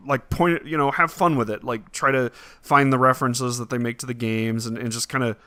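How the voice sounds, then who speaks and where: A man chatting casually as a podcast host about entertainment, talking in a halting way with repeated phrases. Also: medium pitch, steady tone, sharp tone, dry recording. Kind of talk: casual movie discussion podcast.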